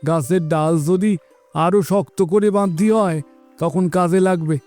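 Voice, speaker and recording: A man playing an earnest, sympathetic village fisherman in an animated moral story. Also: high voice, a little slow, soft background music. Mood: concerned, determined. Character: sympathetic village fisherman eager to help neighbours.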